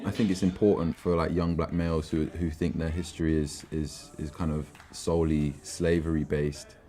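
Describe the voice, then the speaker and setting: A young man in his early twenties with a very low, warm voice talks through his ideas in a documentary interview. He speaks in a relaxed, reflective way and often restarts his sentences. Soft music plays faintly beneath him. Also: moderate pace. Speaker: filmmaker and platform founder.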